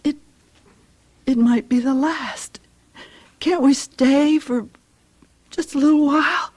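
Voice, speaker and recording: An actress playing an anxious, grieving middle-aged American mother in a radio drama, speaking softly and hesitantly. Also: high-pitched. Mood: tender, sorrowful. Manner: hesitant, halting.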